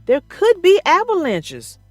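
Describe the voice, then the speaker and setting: A woman's voice: very high-pitched, huge pitch swings, measured pace, a little mellow, dry recording, soft background music, voice pushed up, heavily acted. A woman voicing a cautious, earnest male brake car in a children's storybook, speaking carefully and precisely. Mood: cautious warning. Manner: careful, precise, matter-of-fact.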